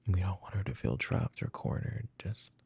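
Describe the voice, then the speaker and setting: A man playing a brooding mafia boss in a roleplay ASMR audio drama, calm, protective and teasing, with a softly commanding way of talking. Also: low voice, moderate pace, creaky, breathy edge, exaggerated voice. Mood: weary, hushed. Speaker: voice actor.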